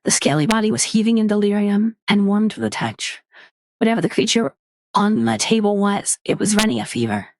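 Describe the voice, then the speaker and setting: Female horror narrator, animated delivery, dry close recording. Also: dry recording. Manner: measured storytelling, drops low and quiet for tense moments. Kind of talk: dramatic reading of a horror story.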